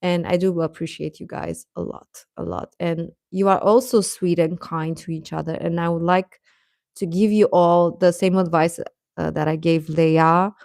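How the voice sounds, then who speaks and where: A woman hosting a casual livestream, chatting to viewers in a gentle, encouraging, affectionate way. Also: fairly low pitch, fairly even intonation, normal speed, vocal fry, dry recording, clean studio sound. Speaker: livestream host.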